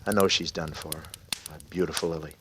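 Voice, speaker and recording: An actor playing a brooding, guilty and slightly unhinged man in a halting, dramatic 1940s radio style. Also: rumbling low, steady tone, a bit bright. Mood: haunted, grieving. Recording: noisy old radio recording.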